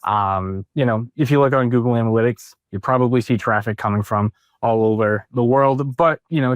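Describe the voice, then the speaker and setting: A young man chats casually as an interview guest, filling his speech with ums and likes and sometimes rushing. His mid-range voice is smooth and clear, and the recording is dry and close. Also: medium pitch, no room sound, lo-fi sound. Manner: lots of ums and likes, quick bursts. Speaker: guest, SEO specialist.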